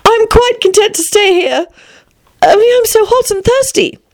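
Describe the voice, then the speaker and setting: A woman voicing a curious, polite and excitable little girl in a storybook reading. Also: extremely high pitch, moderate pace, voice pushed up. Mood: polite, weary. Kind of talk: storybook character voice.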